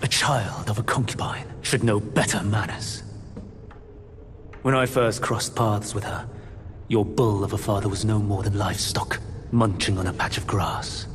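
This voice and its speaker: A man's voice: lowish voice, steady tone, unhurried, natural voice. A man playing a scheming, arrogant immortal suitor in a fantasy game, with formal, theatrical and courtly speech. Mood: disdainful. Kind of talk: dramatic fantasy game acting. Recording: music behind the voice.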